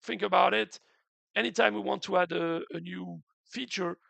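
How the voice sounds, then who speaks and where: A man's voice: sits high, fairly even intonation, normal speed, gravelly, fairly bright tone, no echo. A man hosting a game's community stream, explaining updates in an earnest, casual way with many restarts; his throat is a bit sore after the flu. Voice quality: unusual voice, in his words.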